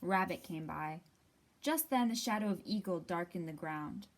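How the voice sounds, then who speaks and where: A woman hosting a library storytime, reading a picture book aloud expressively with deliberate, dramatic pauses. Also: fairly low pitch, expressive, slight background noise.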